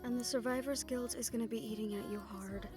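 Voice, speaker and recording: A woman's voice: fairly high pitch, fairly even intonation, quick pace, close to an everyday voice. A woman playing a caring, teasing young woman in a fantasy romance audio roleplay, with a playful, affectionate, conversational delivery. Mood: gentle concern. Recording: music behind the voice.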